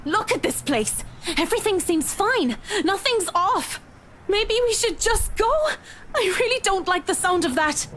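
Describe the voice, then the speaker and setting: A woman's voice: extremely high pitch, expressive, a little slow, a bit bright, a little hiss or hum, full character voice. A woman playing a frightened, stubborn young woman in a horror game, speaking in an anxious, pleading way. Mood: fearful, pleading.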